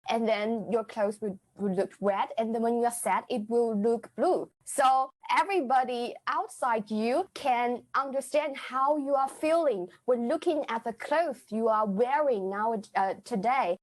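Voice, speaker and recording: A young woman in her twenties with a somewhat high voice chats on a podcast. She talks earnestly, spinning a playful improvised pitch with a straight face. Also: unhurried, hardly any pauses. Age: 20s. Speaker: theatre group member, guest. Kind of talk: casual podcast chat.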